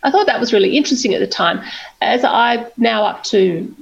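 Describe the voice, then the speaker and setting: A woman speaks as a novelist guest, chatty and self-deprecating, with a voice that often slips into gravelly fry. Her audio is dull and lo-fi. She talks candidly and laughs easily. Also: fairly high pitch, brisk. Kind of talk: candid conversational interview.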